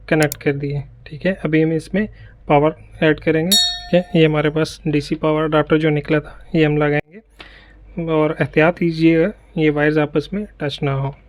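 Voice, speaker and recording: A man narrating an unboxing and setup tutorial, explaining each part step by step and often checking in with the viewer. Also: on the high side. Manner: explains step by step, keeps repeating "ठीक है". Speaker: tech reviewer.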